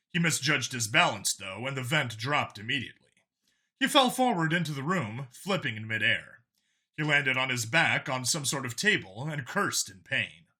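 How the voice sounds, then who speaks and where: Guy reading an action audiobook, super bright forceful voice, lots of up and down, dramatic. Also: medium pitch, husky. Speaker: audiobook narrator. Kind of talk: scripted dramatic story narration.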